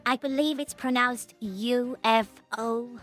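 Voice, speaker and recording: Woman voicing a high, slow, genteel pony lady. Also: steady tone, fairly bright tone. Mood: politely correcting. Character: genteel, prim female pony. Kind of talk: dramatised fan fiction reading. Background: music.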